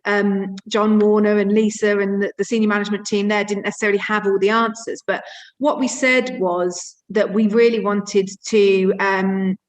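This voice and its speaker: A woman's voice: medium pitch, little pitch movement, normal speed, rough recording. A woman giving an informal, reflective explanation as a theatre education practitioner.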